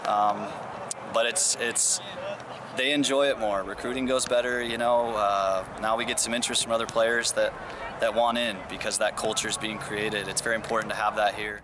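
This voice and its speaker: A man, a soccer coach, giving an informal interview outdoors at practice. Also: lowish voice. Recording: clear outdoor background noise.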